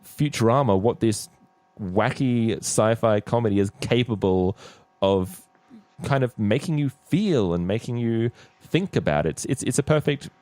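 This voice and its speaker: A man's voice: medium pitch, lively intonation, measured pace, a little mellow, dry recording. Guy hosting a casual fan podcast, really up and down voice, no room sound. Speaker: podcast host.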